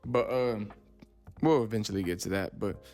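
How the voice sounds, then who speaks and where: A man's voice: on the low side, normal speed, a little mellow, soft background music. A man, hosting his own podcast in loose, rambling casual talk. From Texas, USA. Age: 20s. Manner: loose and rambling, says "you know what I'm saying" often. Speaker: podcast host.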